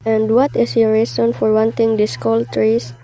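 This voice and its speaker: A woman's voice: a little high, monotone, normal speed, close to an everyday voice, rough recording. A woman playing a formal, businesslike chief telephone operator in an amateur audio drama. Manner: formal, matter-of-fact, procedural. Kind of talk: amateur radio-play acting.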